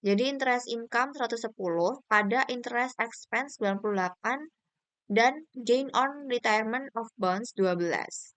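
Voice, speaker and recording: Young woman, student presenter in explanatory mode, clear smooth tone, dry sound. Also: on the high side, normal speed, fairly bright tone, no echo, low-quality recording. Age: young adult. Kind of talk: explanatory class presentation of slides.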